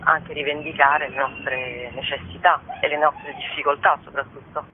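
A woman's voice: deep voice, fairly even intonation, moderate pace. A woman being interviewed on the radio as a guest bookseller. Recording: muffled narrow phone line with noise.

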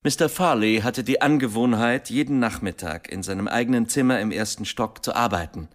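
An actor playing a factual police inspector in a radio play, reporting in a matter-of-fact, official manner. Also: male voice, mid-range voice, fairly quick, close to an everyday voice. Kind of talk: German radio play acting.

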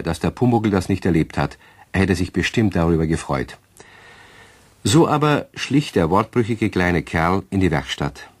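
An actor as a kindly, gently wry storyteller with a husky voice, narrating a children's radio play. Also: male voice, low voice, no echo, heavily acted. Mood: gentle, amused.

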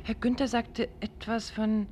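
Actress as thoughtful wife, noisy old radio. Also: female voice, fairly high pitch, little pitch movement, measured pace, darkish tone, close to an everyday voice. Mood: puzzled. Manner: conversational, musing. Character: detective's thoughtful, lightly ironic wife. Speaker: radio drama actor. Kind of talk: 1950s German radio play acting. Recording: noisy 1950s radio recording.

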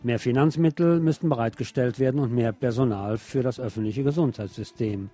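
A man's voice: medium pitch, a little fast, warm tone. A man presenting scripted local news in German, with a wry, opinionated streak. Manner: sardonic, opinionated asides.

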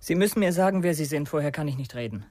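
Troubled young man, slightly high voice. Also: lively intonation, a little mellow, no echo, studio quality. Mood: guarded, distrustful. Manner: halting, confessional. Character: troubled, distrustful young man confessing to extremist past. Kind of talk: German radio crime drama acting.

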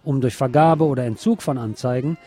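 A man's voice: mid-range voice, brisk, mellow, husky, soft background music. A man presenting a scripted radio news report. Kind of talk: scripted news reading, later live eyewitness report.

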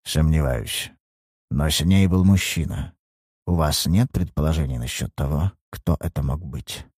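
A man doing a low, cold private eye. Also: a little slow, darkish tone, breathy edge, no echo, clean studio sound, heavily acted. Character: hard-boiled male private detective, cool and blunt.

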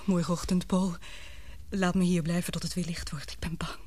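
An actress playing an anxious but brave, tender young woman in a radio drama, with breathy, emotional, hesitant delivery. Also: lowish voice, level tone, normal speed, a little mellow, lightly acted. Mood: frightened.

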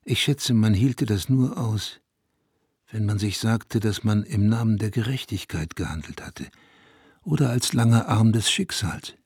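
An actor playing a brooding, reflective narrator from a dying town, in a measured, literary radio play monologue that drops to a hush in places. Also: male voice, on the low side, husky, no room sound, clean studio sound, exaggerated voice. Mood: grim, brooding.

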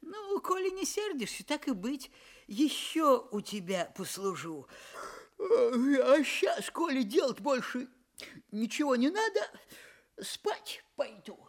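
A woman's voice: high voice, big pitch swings, takes it slowly, full character voice. A woman playing a slyly naive young male farmhand in a fairy-tale audio play, teasing and drawling with feigned innocence. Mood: innocent, obliging.

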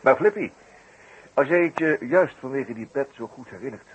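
An actor playing an urbane, teasing detective in a 1960s radio play, with pompous, ironic, wordy phrasing. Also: male voice, mid-range voice, animated, normal speed, highs cut off, close to an everyday voice. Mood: probing. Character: wry male amateur detective, urbane, teasing, inquisitive. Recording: noisy vintage radio play recording.

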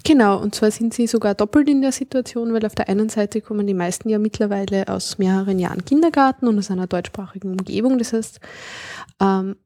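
Middle-aged woman, gravelly and mellow, explaining stuff slowly and carefully, dry close sound. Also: medium pitch, no echo. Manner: measured, searching for precise words. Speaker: linguist guest. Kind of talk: thoughtful conversational explanation.

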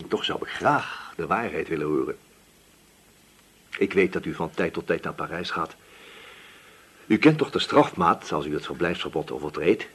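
An actor playing a stern, relentless, sardonic police inspector who questions insistently in a radio play. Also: male voice, low voice, breathy edge. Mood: threatening. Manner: probing, insistent, threatening. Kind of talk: Dutch radio play dialogue.

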